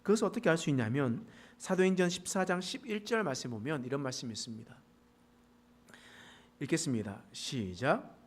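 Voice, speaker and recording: A middle-aged man from the Pohang countryside preaches to his congregation, and traces of a Gyeongsang dialect slip into his speech. His voice sits a little high for a man and swings widely in pitch. He tells his points in a folksy way with joking asides. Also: lively intonation, brisk, slightly rough. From South Korea, Pohang area. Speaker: pastor. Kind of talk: sermon. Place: church sanctuary.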